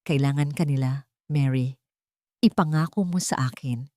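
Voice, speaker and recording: A woman's voice: rumbling low, normal speed, mellow, no room sound, voice pushed down. A woman narrator acts out a frail Filipino mother, weak yet determined. She pleads insistently in an audio love story, recorded dry. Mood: tearful pleading.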